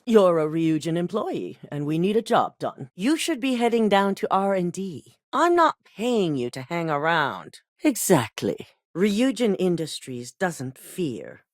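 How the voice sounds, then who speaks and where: A woman's voice: on the low side, big pitch swings, measured pace, dry recording, studio quality. An actress voicing a cold, exacting female corporate executive in a video game, curt and authoritative. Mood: stern.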